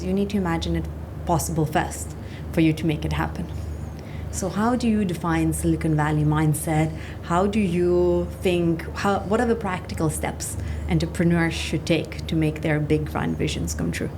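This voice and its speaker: A woman's voice: fairly low pitch, moderate pace, noisy background. A woman hosting a podcast interview in a conversational way, putting questions to a guest.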